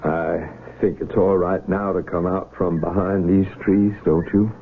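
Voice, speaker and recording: An actor playing a calm, inquisitive country physician in a radio drama, with a husky American voice and a measured, gently questioning manner. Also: male voice, low-pitched, slight background noise. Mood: gentle, probing. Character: calm, inquisitive, patient country physician of the Civil War era. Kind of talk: 1970s radio drama acting. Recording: narrow, tinny vintage radio broadcast.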